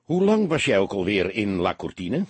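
Man playing a fatherly, low-voiced detective. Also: very animated, breathy edge, no room sound. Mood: probing. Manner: fatherly, measured, gently insistent. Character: patient, fatherly older police detective, calmly probing.

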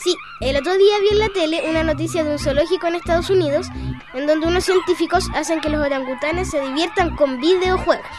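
A woman's voice: very high-pitched, fast, over music. A woman playing a curious youngster who likes explaining things, with a Chilean accent, in a radio drama. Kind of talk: scripted radio drama acting.

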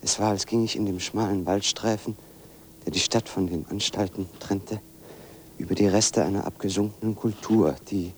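An actor playing a solitary wanderer, narrating in a measured, observant, literary way for a radio play. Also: male voice, fairly low pitch, a little hiss or hum, natural voice. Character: solitary male wanderer narrating his walk in detail.

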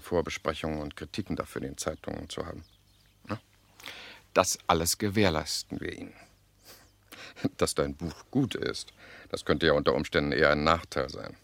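An actor playing a cynical, worldly journalist and jovial schemer in a radio drama, sardonic and theatrical. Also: male voice, rumbling low, vocal fry, fairly bright tone, heavily acted.

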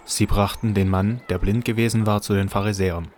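A man reading scripted scripture narration for an audio drama in a measured, matter-of-fact way. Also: lowish voice, steady tone, a little mellow.

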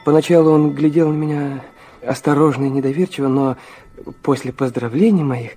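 An intense young man played by a male actor in a radio drama, with a fairly high, mellow voice. He is earnest, both as a son in dialogue and as narrator. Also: fairly even intonation, brisk, natural voice. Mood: reflective narration. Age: young adult.

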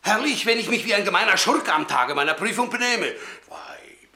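Forceful, animated pious strongman. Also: high-pitched, moderate pace, reverberant, exaggerated voice. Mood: indignant. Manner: declamatory, forceful, swinging from pious to thundering. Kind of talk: theatrical radio play acting.

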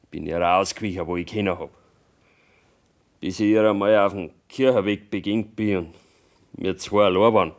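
An actor playing a bitter, stubborn young farmer's son in a radio drama, brooding and accusing in Bavarian dialect. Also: male voice, low voice, fairly even intonation, fairly quick, long pauses, no echo. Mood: subdued, confessing.

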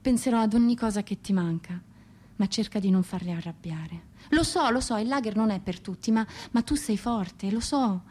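An actress playing a tormented, romantic and proud woman poet and grieving mother, delivering a dramatic, intense radio monologue with deliberate pauses. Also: medium pitch, mellow, close to an everyday voice. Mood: anxious, pleading. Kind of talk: theatrical radio drama monologue with poetry recitation.

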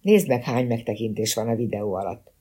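A stoic small-town police chief , as a male narrator reads him, speaks bluntly in a dark, husky voice. He is unruffled and authoritative, a detective audiobook figure. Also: mid-range voice, close to an everyday voice. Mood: matter-of-fact.

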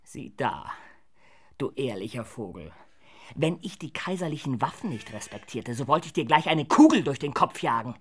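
Bright-edged, rough-voiced swaggering young soldier. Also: male voice, mid-range voice, sing-song, moderate pace. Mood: mocking, threatening. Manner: loud taunting declamation. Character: young swaggering soldier hunter, mocking and challenging. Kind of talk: radio play acting.

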